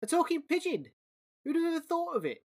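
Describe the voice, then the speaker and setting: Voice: very high-pitched, big pitch swings, brisk, voice pushed up, exaggerated voice. Host doing a tiny piping rat. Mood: eager. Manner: eager, piping. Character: small squeaky rat. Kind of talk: storybook reading.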